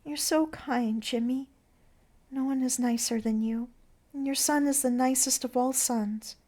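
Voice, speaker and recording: A woman's voice: high-pitched, fairly even intonation, a little slow, mellow, voice pushed up. A woman voicing a sweet, nervous young circus performer who pleads and gushes girlishly in a theatrical play reading. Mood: gushing, affectionate.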